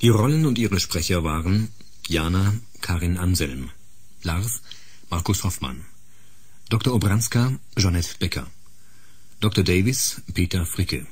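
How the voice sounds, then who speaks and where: A man's voice: deep voice, expressive, unhurried, long pauses, slightly rough, no room sound. A man announcing scripted radio drama credits.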